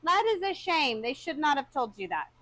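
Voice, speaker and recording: High-voiced teasing woman, dry sound. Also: very animated, moderate pace, fairly bright tone, no room sound. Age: adult mother of four. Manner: teasing, chatty, reads chat aloud. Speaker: co-host reading viewer comments. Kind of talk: casual livestream chat.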